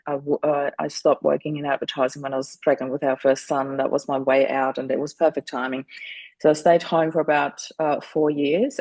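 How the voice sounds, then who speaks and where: A middle-aged woman speaks in a gravelly, fry-laden voice. Her delivery stays flat and level as she talks earnestly, restarting her sentences now and then. The sound is dry and close, with no room around it. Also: low voice, no echo. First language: German. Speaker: guest. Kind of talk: candid interview conversation.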